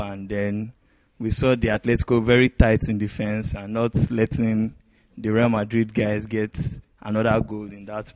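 A man co-hosting a sports podcast, giving match analysis in halting, short phrases. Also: on the low side, darkish tone, no room sound, low-quality recording. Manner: halting, pieces sentences together in short phrases. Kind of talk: sports discussion.

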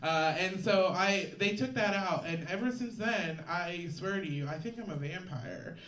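A woman with a deep voice performing a live stand-up set on stage, rambling with halting restarts and a dry delivery. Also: fairly bright tone. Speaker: stand-up comedian. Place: comedy stage in a room. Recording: echoey room from far off, noisy.

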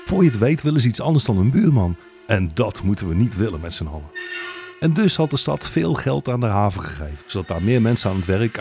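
A man with a low voice narrates a radio play in the style of a fairy tale. His delivery is theatrical and ironic, with a mock-naive tone as if he were telling it to children. Music plays beneath his voice. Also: gravelly. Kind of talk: satirical fairy-tale storytelling, scripted narration.

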